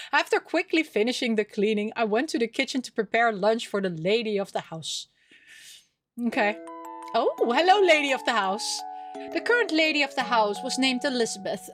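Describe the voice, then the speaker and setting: A woman with a fairly high voice hosts a let's play over clearly audible music. She reads the game's text aloud and adds her own playful, expressive comments. She often chatters to herself as she plays. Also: no room sound, clean studio sound. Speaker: gaming video host.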